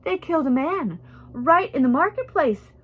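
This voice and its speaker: Woman reading as a distraught, higher-pitched young woman. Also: lively intonation, moderate pace, a bit bright. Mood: horrified, distraught. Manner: wailing, emotional. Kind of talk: storybook character reading.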